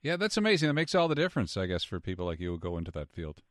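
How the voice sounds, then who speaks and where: A man's voice: sits low, big pitch swings, very fast, dry recording, clean studio sound. A man hosting a conversational radio interview.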